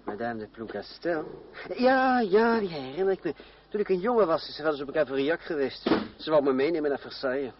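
Man acting proud young gentleman, radio drama, cool, formal, ironic, higher-pitched, dull old recording. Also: lively intonation, fairly quick, noisy background, lightly acted. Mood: surprised, courteous. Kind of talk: Dutch radio play acting.

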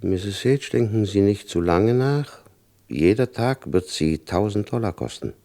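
An actor playing a hard, shrewd FBI chief in a German radio crime drama, condescending and insinuating, with a gravelly voice. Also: male voice, on the low side, expressive, unhurried, dark tone. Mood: insinuating pressure.

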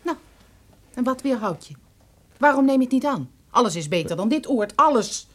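An actress in a Dutch radio play playing a detective's bold companion, speaking in a familiar, direct, teasing way. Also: female voice, on the high side, very animated, moderate pace, a bit bright. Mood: impatient, bold. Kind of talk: Dutch radio drama acting.

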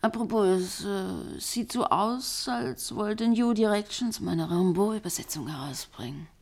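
An actress playing a lonely, needling ex-partner in a German radio play, intimate and volatile, with a creaky, breathy voice. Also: female voice, mid-range voice, measured pace, a little mellow. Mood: casual, probing. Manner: intimate, fussing, emotionally volatile.

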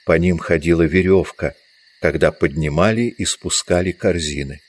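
A man's voice: deep voice, normal speed. A man reading scripted literary narration as the narrator of an audio drama.

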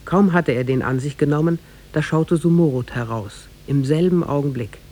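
A man narrating a tale in a formal, measured 1950s radio-drama style with literary diction. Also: a little high, mellow.

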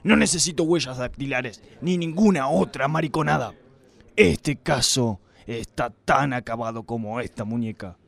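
A gravelly, creaky-voiced police inspector, played by a man in a noir parody radio play. He broods and swears with melodramatic flair, hot-tempered and sleazy. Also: mid-range voice, moderate pace, a bit bright. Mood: gruff contempt.

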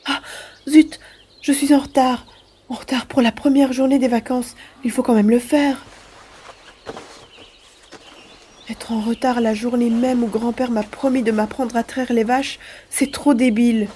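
A woman's voice: on the high side, fairly even intonation, moderate pace, warm tone, a little hiss or hum, close to an everyday voice. A woman voicing an eager young boy on holiday at his grandparents' farm in an audio drama. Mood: flustered. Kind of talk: French-language audio drama acting.

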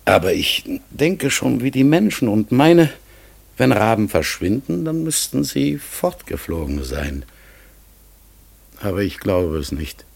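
An actor playing a talking raven in a radio play, polite, forgetful and melancholy, with a hoarse, breathy voice and a halting, searching way of speaking. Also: male voice, lowish voice, very animated, a little mellow, heavily acted. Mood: pensive, earnest. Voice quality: hoarse, gravelly, breathy, often dropping to a whisper. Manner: slow, halting, earnest, searching for words. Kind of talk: classic German radio play acting.

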